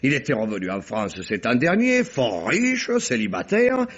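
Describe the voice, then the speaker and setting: An actor in an old radio mystery playing a wily, jovial detective posing as a talkative gentleman from Provence, theatrical and deferential as he winds through his account. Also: medium pitch, wildly swooping pitch, moderate pace. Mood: confiding, sly. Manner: voluble, theatrical, digressive, deferential. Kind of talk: old French radio mystery drama acting. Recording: muffled vintage radio recording.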